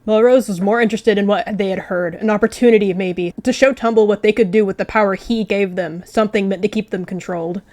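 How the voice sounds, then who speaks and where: Woman gamer reading out rp narration, mid pitch, drops in casual side comments. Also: fast talker, hardly any pauses, darkish tone, faint music behind. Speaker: gaming video creator. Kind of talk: roleplay narration with casual asides.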